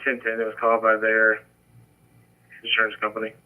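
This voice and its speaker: A man's voice: fairly low pitch, monotone, fairly quick, phone-quality sound. A man working as a police officer or dispatcher, trading radio traffic over a two-way scanner channel.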